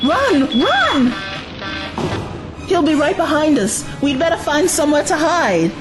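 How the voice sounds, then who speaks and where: A woman's voice: high voice, very animated, measured pace. A woman playing a wary, resolute young companion in an audio drama, firm and urgent. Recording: music under the voice.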